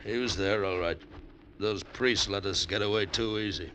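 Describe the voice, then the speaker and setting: A hard-bitten adventurer, deep-voiced and terse. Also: male voice, moderate pace, pushed and bright, a little hiss or hum. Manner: terse, clipped first-person narration. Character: hard-bitten male adventurer narrating his ordeal. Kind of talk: 1940s radio acting. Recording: old radio drama with music under it.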